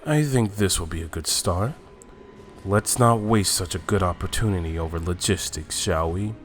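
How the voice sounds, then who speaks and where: A man playing a calm, amused, scheming fighter in an anime fan drama, smug, deliberate and musing. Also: deep voice, a little hiss or hum, close to an everyday voice.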